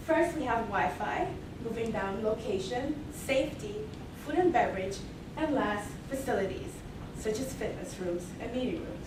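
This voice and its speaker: A young female student delivers a rehearsed business pitch with steady formality. Her slightly high voice comes from far off in a reverberant hall. Steady background noise sits under it. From Mexico and Belize. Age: young adult. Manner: measured, formal pitching with repeated "moving right along". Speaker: student presenter. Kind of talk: rehearsed case presentation. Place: large echoey hall, heard from afar. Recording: distant echoey hall recording with noise.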